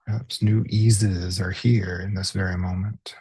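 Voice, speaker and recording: A man with a soft, breathy, husky voice leads a guided body scan meditation as a teacher, gently and unhurriedly, pausing between short phrases. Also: low-pitched, little pitch movement, no echo.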